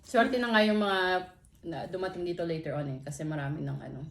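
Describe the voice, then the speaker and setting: A woman in her thirties from the Philippines, asking probing questions in a soft, hesitant way during a casual family interview. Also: sits low, expressive, quick pace, vocal fry. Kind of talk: casual family interview in mixed English and Tagalog. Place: home room, roomy. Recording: roomy home room echo, faint noise.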